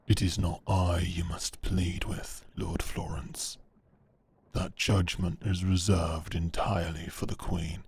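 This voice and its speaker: A man playing a stern, commanding medieval knight with a gravelly, husky voice and formal, curt speech in a roleplay audio drama. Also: low voice, level tone, slow pace, darkish tone, slight background noise, full character voice. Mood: stern, guarded.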